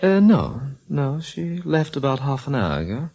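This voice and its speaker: An actor playing an affected, languid, polite well-to-do nephew in 1940s radio style. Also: male voice, mid-range voice, big pitch swings, measured pace, gravelly, dark tone, heavily acted. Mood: smooth, apologetic. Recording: old radio recording.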